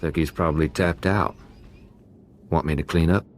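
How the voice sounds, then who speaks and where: Game role: easygoing criminal thug, bottomless low pitch, terse, menacing; faint noise behind. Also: male voice, fairly even intonation, moderate pace. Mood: casually menacing. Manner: clipped casual phrasing, deferential to the boss. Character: rough, easygoing male prison gang thug, cheerfully menacing.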